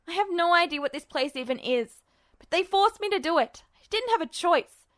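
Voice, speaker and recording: Woman voicing Ed, a desperate, high-pitched, defiant youth. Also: animated, normal speed, no echo, clean studio sound. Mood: pleading, panicked. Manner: gasping, stammering, shouting. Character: desperate, frightened, defiant, foul-mouthed young man, Ed. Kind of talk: audio fiction reading.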